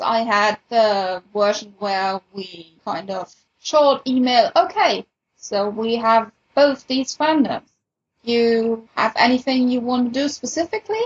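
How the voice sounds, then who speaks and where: Woman, husky, slightly high pitch, casual podcast talk, dull lo-fi recording. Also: a little slow. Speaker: podcast participant, fan event organiser.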